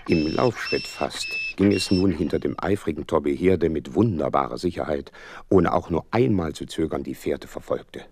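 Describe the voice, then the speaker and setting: Casting: loyal, earnest companion-narrator for radio drama, low husky voice, expressive swings in pitch. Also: male voice, moderate pace, full character voice. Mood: vivid narrating. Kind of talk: radio play narration and acting.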